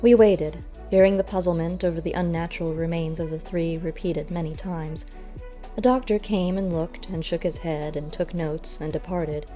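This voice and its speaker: A woman's voice: lowish voice, warm tone. A woman reading a story aloud as scripted audiobook narration.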